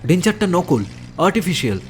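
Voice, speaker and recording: A man's voice: sits high, very animated, a little fast. A man playing an eager junior detective, noisy recording. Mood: excited. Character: observant, eager male junior detective, respectful to superior. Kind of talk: Bengali audio detective drama acting. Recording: noticeable noise behind the voice.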